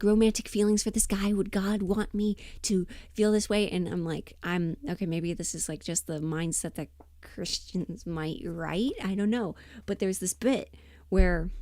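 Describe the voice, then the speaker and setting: A woman chatting casually and emphatically on a livestream, rambling in an animated, opinionated way. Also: mid-range voice, no room sound, clean studio sound. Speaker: livestream host and voice performer.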